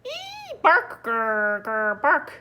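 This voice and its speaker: A woman voicing an excitable, jumpy little puppy in short repeated yips and barks, for a storybook. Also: a little high, very slow, a little hiss or hum, pitched above the performer's own voice, exaggerated voice. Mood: alarmed.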